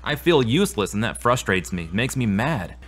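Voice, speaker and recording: A man's voice: medium pitch, very animated, normal speed. A man acting as a troubled, self-doubting therapy patient in a scripted audio drama, speaking in an earnest, confessional way. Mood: frustrated, uneasy. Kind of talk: scripted audio drama dialogue and spoken prayer.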